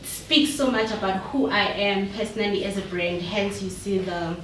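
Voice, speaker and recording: A woman's voice: medium pitch, normal speed, slight background noise. A South African woman, a business founder, talking casually and confidently as an interview guest, mixing Zulu into her English. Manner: relaxed, self-assured, mixes Zulu with English. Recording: echoey room, mic far off.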